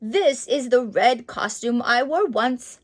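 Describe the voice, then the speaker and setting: A woman voicing a kindly old male mouse, a retired postman who reminisces in a gentle, measured storybook manner. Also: a little high, lively intonation, pitched below the performer's own voice. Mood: fond reminiscing.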